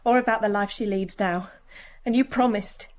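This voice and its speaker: An actress playing a sad, tired young working woman in a radio drama, quiet, terse and guarded. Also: medium pitch, steady tone, moderate pace, close to an everyday voice. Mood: pained.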